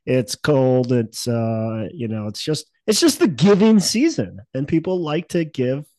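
A man's voice: medium pitch, big pitch swings, unhurried, a little mellow, dry recording. A man hosting a podcast in casual chat, with a stuffy nose and a cough, rambling with many fillers and restarts. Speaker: podcast host.

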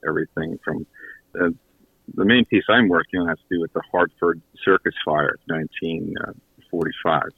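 A low-pitched man gives a casual radio interview as a guest. He speaks haltingly, feeling for his words, over a thin, phone-like connection. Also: fairly even intonation, creaky, breathy edge. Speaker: guest, audio theater creator and writer. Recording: echoey, tinny phone-line connection.

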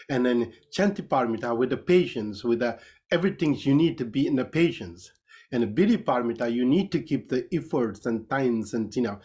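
Nepali-accented man explaining haltingly. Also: mid-range voice, a little mellow. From Nepal, Kathmandu Valley. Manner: halting, repetitive phrasing in non-native English. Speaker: interview guest, Buddhist priest and dance master. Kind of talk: conversational explanation.

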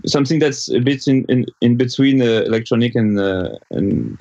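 A man with a French accent, a musician guest answering interview questions haltingly, searching for his words. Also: medium pitch, animated, vocal fry, dark tone, dry recording, lo-fi sound. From France.

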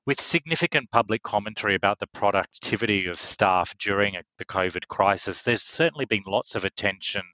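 Bright-toned corporate podcast host. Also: male voice, fairly low pitch, no echo, muffled recording. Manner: polished, scripted intro, upbeat. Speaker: podcast host, marketing manager.

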